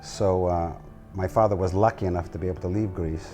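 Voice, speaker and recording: A man in his sixties from the United States, an artist telling his family story in an interview at his home, relaxed and wry. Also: low voice, fairly quick, soft background music. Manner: relaxed, wry, self-deprecating. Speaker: guest artist.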